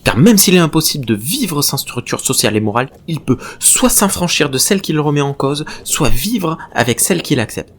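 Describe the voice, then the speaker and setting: A man's voice: on the high side, big pitch swings, fairly quick, hardly any pauses. An actor playing an obsessive, exalted male researcher given to professorial philosophical tirades in a French audio drama. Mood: agitated, fervent. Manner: professorial, fervent, rambling monologues. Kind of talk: French science-fiction audio drama acting. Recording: clear background noise and ambience.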